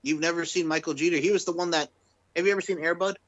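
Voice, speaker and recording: A man's voice: a little high, steady tone, fast, a bit bright, no room sound, highs cut off. Laid-back American man, dry close mic. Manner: laid-back, casual swearing, rattles off film trivia. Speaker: podcast co-host. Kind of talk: casual podcast chat.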